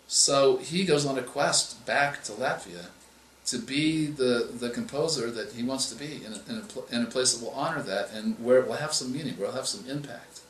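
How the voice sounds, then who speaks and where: A male author answering interview questions, explaining in a measured way and often restarting his phrases. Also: medium pitch, fairly bright tone, slight background noise. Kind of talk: interview answers on writing craft. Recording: echoey room with faint ambience.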